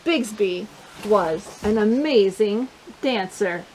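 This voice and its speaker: A woman hosting a children's storytime, reading a picture book aloud in an animated, playful way, with chatty asides to her young listeners. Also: mid-range voice, slow, a little hiss or hum, rough recording.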